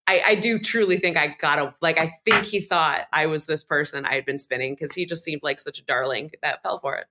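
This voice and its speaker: A woman's voice: low-pitched, a little fast, noisy background. A woman on a comedy podcast telling an anecdote and acting out the quotes. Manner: animated, acts out quotes. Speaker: podcast co-host. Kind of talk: comedic storytelling.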